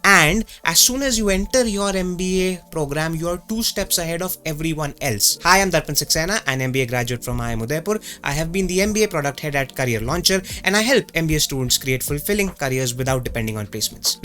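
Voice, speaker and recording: Young Indian male host, quick earnest advice delivery, slightly high voice, mild stammer, Indian English, soft music behind. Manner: earnest, mixes English with Hindi. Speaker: YouTube host and career coach. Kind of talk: direct-to-camera advice talk.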